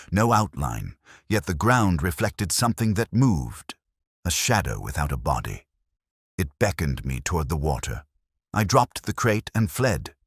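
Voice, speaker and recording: A man performs a haggard, fearful clerk from a detective audio story. He pleads and stammers in a rough, hoarse voice, pushed up in pitch with lively swings, recorded close and dry. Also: dry recording, full character voice. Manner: stammering, trembling, desperate.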